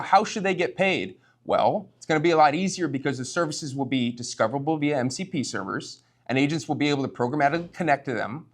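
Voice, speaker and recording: Guy presenting at a tech meetup, founder type, chatty style, talks right at the audience with rhetorical questions. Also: on the high side. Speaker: startup founder, conference speaker.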